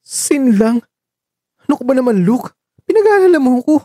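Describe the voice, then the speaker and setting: A man's voice: extremely high pitch, very animated, normal speed, mellow, no room sound, studio quality, pitched above the performer's own voice, exaggerated voice. A man playing a caring, nagging man who frets and gets exasperated in an audio drama. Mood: exasperated, anxious. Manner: exasperated, insistent, emotional. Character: Marco, caring young man, nagging and fretful.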